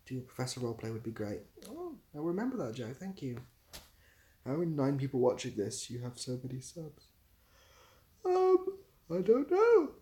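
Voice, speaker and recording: A man's voice: medium pitch, wildly swooping pitch, normal speed, warm tone. Young man with southwest English accent. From southwest England, near Bath. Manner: rambling, self-deprecating. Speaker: livestream host and YouTuber. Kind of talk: casual livestream chat.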